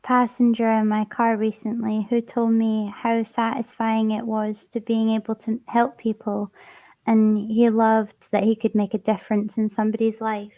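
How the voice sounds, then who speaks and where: Giggly high-voiced Scottish woman. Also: completely flat delivery, moderate pace, warm tone, highs cut off. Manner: giggly, stumbling over words. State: swollen cheek, speech a bit slurred. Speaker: streamer host. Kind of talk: casual stream chat.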